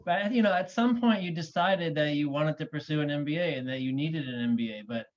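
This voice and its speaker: A man with a fairly high-pitched voice hosts a conversational interview. He is recorded over a video call, with dull, lo-fi sound. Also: a little fast. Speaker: interview host, admissions consultant. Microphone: video call microphone.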